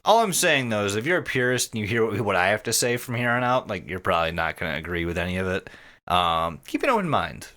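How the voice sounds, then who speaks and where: A man's voice: on the low side, sing-song, quick pace, sharp tone. A man co-hosting a casual comedy podcast, joking and swearing freely as he talks. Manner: profane, joking. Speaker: podcast co-host.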